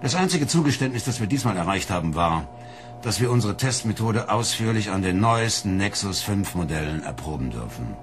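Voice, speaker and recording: A man playing a gruff, world-weary police inspector in a radio drama, blunt and sardonic. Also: lowish voice, very animated, brisk, music in the background. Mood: matter-of-fact, weary.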